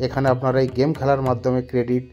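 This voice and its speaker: A man's voice: medium pitch, completely flat delivery, fast talker, dark tone. A man presenting a step-by-step phone app tutorial in an instructional, repetitive way. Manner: instructional, repeats points and phrases. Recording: music bed behind the voice.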